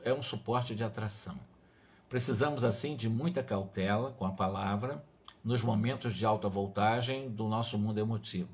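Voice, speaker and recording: Man, Brazilian accent, medium pitch, spiritist lecturer, didactic, emphatic, rephrases often, reads and explains. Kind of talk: religious study talk, reading passages and explaining them.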